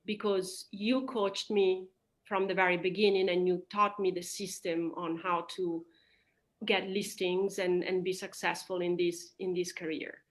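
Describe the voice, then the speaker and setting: Italian-native woman realtor chatting in an interview, mid pitch, lots of ums and little laughs. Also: a bit bright, rough recording. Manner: chatty, with fillers and quick laughs. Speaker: real estate agent. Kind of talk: interview answers.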